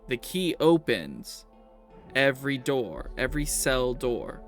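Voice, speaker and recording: Man playing a gruff, gravel-edged, mocking prison warden. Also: medium pitch, a bit bright. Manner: mocking, impatient, swearing.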